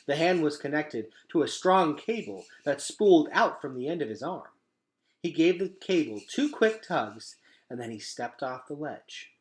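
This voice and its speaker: A man's voice: a little high, sing-song, moderate pace. Man reading a storybook aloud with dramatic emphasis. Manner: dramatic, emphatic delivery with hushed drops. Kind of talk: storybook read-aloud narration.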